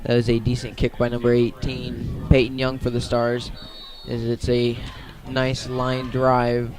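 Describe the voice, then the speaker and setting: An American man gives live radio play-by-play of a football game. His voice sits at a medium pitch with extremely little melodic movement. He delivers each play in halting pieces, correcting himself as he goes. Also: completely flat delivery. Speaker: play-by-play commentator.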